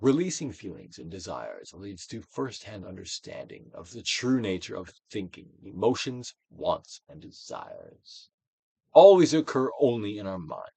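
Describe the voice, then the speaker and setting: A man's voice: lowish voice, huge pitch swings, unhurried, gravelly, husky, dry recording. Theatrical male reader with sweeping intonation. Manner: theatrical, emphatic, swinging from loud declaration to hushed phrases. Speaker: actor reading a book aloud. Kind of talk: dramatic audiobook reading of a self-help book.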